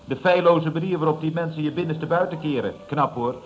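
An actor playing an earnest, deferential employee in a radio comedy, speaking politely with hesitant but insistent eagerness. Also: male voice, a little high, brisk, close to an everyday voice, low-quality recording. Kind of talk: radio comedy serial acting.

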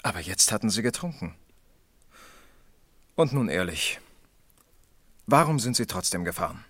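A sceptical police officer in a radio crime play. His fairly low voice is deliberate and insistent, recorded dry, without room sound. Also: big pitch swings, a bit bright, no room sound. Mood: pressing, sceptical. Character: pressing, sceptical male police officer interrogating a suspect. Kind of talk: German radio crime drama acting.

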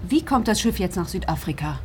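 An actress playing a polite, persistent, ironic investigator in a radio drama. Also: female voice, on the low side, very animated, brisk, a little hiss or hum. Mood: probing, ironic. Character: cool, persistent female investigator with dry irony.

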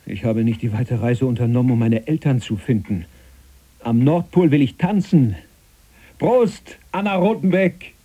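An actor playing a stubborn, defiant dreamer who longs to escape, in a German radio play, speaking emphatically and declamatorily. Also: male voice, mid-range voice, unhurried, dark tone, slightly rough. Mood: proud, defiant. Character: defiant dreamer, grown man rejecting inheritance, longing to escape.

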